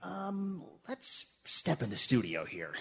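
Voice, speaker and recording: A man's voice: fairly high pitch, wildly swooping pitch, slow pace, gravelly, slight background noise, heavily acted. A man playing an awkward, apologetic radio station boss, stammering politely in a comedic radio play. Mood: nervous. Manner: hesitant, stammering corporate politeness. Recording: echoey theatre hall.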